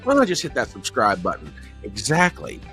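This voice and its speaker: A middle-aged man hosting a casual livestream, chatty and jokey, a little hoarse and nasal from a cold. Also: fairly low pitch, wildly swooping pitch, a little fast, sharp tone, soft background music. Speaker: host.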